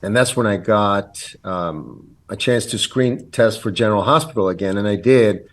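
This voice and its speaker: A man's voice: lowish voice, normal speed. A man in his fifties whose first language is Italian, telling stories of his career earnestly as a podcast guest, with many ums and restarts. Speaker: guest actor. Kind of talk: casual interview chat.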